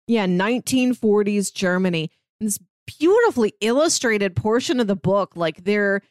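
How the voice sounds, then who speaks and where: A woman's voice: medium pitch, expressive, normal speed, a little mellow, no room sound, studio quality. A woman co-hosting a podcast, talking casually through a book in a conversational way. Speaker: podcast co-host.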